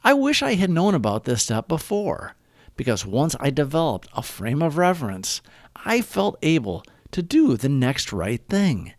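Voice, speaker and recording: Man, scripted meditation reading, animated intonation, measured short phrases, dry recording. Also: fairly high pitch, dry recording. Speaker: narrator of a recovery reading. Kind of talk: scripted read-aloud of daily meditation and reflection.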